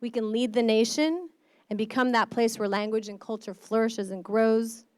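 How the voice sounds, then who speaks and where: A woman's voice: on the high side, fairly even intonation, normal speed, no room sound. A middle-aged woman from the American Midwest, a state board of education member, giving an earnest, passionate conference speech. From Midwestern United States.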